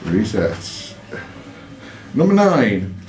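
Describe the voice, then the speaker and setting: A man's voice: on the low side, big pitch swings, drawn-out words. A man hosting a quiz, reading out questions in a live, informal way and judging the answers. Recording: roomy echo, faint music behind.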